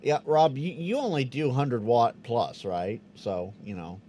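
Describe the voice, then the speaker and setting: A man's voice: mid-range voice, measured pace, dark tone, a little hiss or hum. A man hosting a live ham radio Q&A stream, chatting casually with viewers, often restarting his words and sounding out Morse code.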